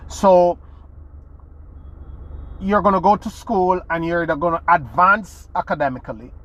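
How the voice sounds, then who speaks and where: Slow, earnest man. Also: on the high side, a bit bright, muffled recording, a little hiss or hum. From Jamaica. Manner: earnest, restarts phrases, stresses key words with sudden rises. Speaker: podcast host. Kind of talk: motivational monologue.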